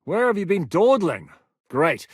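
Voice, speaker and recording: A blunt, foul-mouthed war captain. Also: male voice, high-pitched, sing-song, measured pace, no room sound, studio quality, full character voice. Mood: gruff. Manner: gruff, curses freely, barks orders. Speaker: military commander. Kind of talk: video game voice acting.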